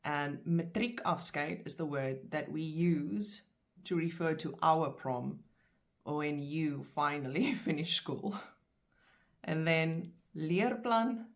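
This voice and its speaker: A South African woman with a low voice works through a vocabulary list. She is middle-aged, speaks Afrikaans as her first language, and says each word slowly and distinctly before translating it. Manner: slow, clear word-by-word pronunciation. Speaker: language teacher. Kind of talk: vocabulary lesson.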